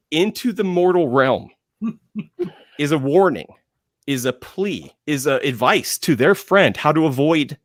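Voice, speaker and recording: A man's voice: mid-range voice, dry recording. Emphatic man on a film panel, dry sound. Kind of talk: enthusiastic panel talk on films.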